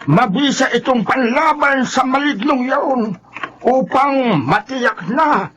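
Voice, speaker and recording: High-voiced, forceful Filipino folk healer. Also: male voice, animated, slow, slight background noise, full character voice. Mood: solemn warning. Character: grave, commanding male folk healer fighting evil spirits. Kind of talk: Filipino radio horror drama acting.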